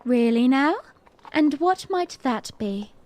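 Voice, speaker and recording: A woman playing a young princess who narrates her memories in an audio drama, ladylike, playful and fond, with a measured manner. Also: high-pitched, lively intonation, a little mellow, long reverb tail. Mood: playful, surprised. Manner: measured, ladylike, lightly amused.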